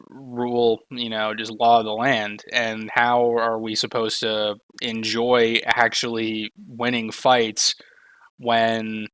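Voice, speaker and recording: Hoarse guy ranting about a game. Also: medium pitch, pushed and bright, no room sound. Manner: frustrated, swears often, builds to heated outbursts. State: losing his voice from being sick. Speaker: gaming video creator. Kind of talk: casual ranting commentary.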